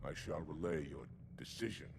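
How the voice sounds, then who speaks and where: Deep, gruff alien warrior commander. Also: male voice, normal speed, noisy background. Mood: restrained deference. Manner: formal, clipped military deference. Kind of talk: video game cutscene acting. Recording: music behind the voice.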